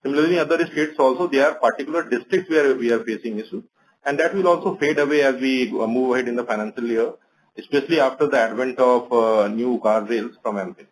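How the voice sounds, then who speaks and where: A man's voice: medium pitch, fast talker, a little hiss or hum, rough recording. A man with an Indian accent, a bank executive methodically explaining points on an earnings conference call.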